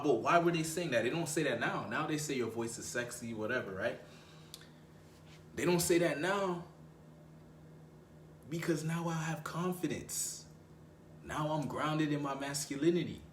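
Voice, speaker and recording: A young man with a low voice, giving dating advice in a casual, slangy way and often repeating himself for emphasis. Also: reverberant, a little hiss or hum. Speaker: dating coach.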